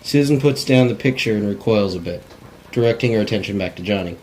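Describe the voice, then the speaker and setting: A man's voice: fairly low pitch, quick pace, darkish tone, a little hiss or hum. A man narrating script directions in a plain, unpolished cold read, stumbling now and then. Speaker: narrator reading stage directions.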